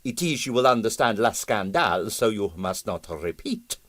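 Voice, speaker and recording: A man voicing an excitable, boastful Russian ballet impresario, theatrical in broken English with bits of French. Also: lowish voice, normal speed, no room sound. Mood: confiding, cheerful.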